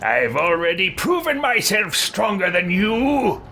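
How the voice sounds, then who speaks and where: A man's voice: sits high, big pitch swings, unhurried, pushed and bright, a little hiss or hum, pitched above the performer's own voice, heavily acted. A man voicing a bold, defiant adventurer while roleplaying a fantasy video game, with theatrical delivery. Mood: boastful. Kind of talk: roleplaying game dialogue aloud.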